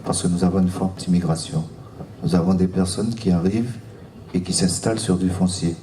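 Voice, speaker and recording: A man's voice: low-pitched, fairly even intonation, a little fast, mellow. A man from French Guiana giving public remarks at a seminar as a local elected official. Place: seminar room, recorded from a distance. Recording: roomy, distant mic, faint noise.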